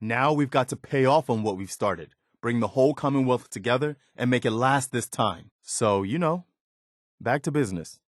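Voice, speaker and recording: An actor voicing an earnest, resolute militia leader who rallies settlers, in video game dialogue. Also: male voice, mid-range voice, lively intonation, normal speed, no echo, clean studio sound, natural voice. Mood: businesslike.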